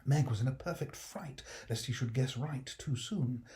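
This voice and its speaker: Mellow British man narrating a classic story. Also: mid-range voice, moderate pace. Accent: British English. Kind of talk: expressive scripted storybook narration.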